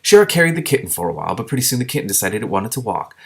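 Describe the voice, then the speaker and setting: Male book reader, lower register, informal and expressive, for fantasy storytelling with off-the-cuff commentary. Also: racing, vocal fry. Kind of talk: reading a children's fantasy novel aloud with casual commentary.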